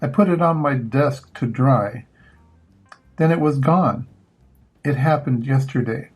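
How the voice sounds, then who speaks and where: A man's voice: medium pitch, unhurried, darkish tone, slightly rough. An older man reading the lines of a young girl in a storybook, plain-spoken and direct, with simple childlike phrasing. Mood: plain, explaining.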